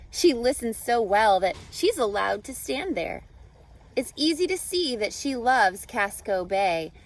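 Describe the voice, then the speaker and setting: A woman reading a picture book aloud as a teacher to children, expressive and carefully phrased, pausing to put questions to her listeners. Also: on the high side.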